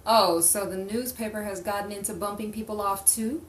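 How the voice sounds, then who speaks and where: A woman's voice: mid-range voice, steady tone, moderate pace, close to an everyday voice. A woman playing a frightened, distrustful crime witness in an improvised noir radio play. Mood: distrustful, indignant. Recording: echoey room, distant mic, faint noise.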